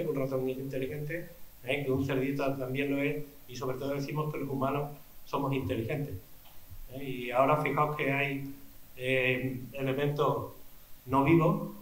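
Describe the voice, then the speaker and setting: A man presenting and introducing a keynote speaker in a lecture hall, in a measured, explanatory way. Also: mid-range voice, fairly bright tone. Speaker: event presenter.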